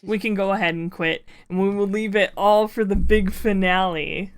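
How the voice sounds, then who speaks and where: A young woman hosting a game stream, talking loudly with friends in a chatty, laugh-filled way. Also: mid-range voice, steady tone, moderate pace, clean tone, no echo, studio quality. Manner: loud, laughs a lot, chatty. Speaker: streamer host.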